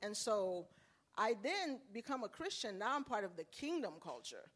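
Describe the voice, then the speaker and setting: A woman's voice: mid-range voice, big pitch swings, normal speed, a bit bright. Earnest woman from Haiti giving testimony. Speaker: ministry leader sharing testimony.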